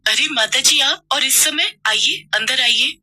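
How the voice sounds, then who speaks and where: A woman's voice: high voice, unhurried, noisy background, pitched above the performer's own voice. A woman voicing a poor, humble young maid who pleads with her employer in a cartoon story. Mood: pleading.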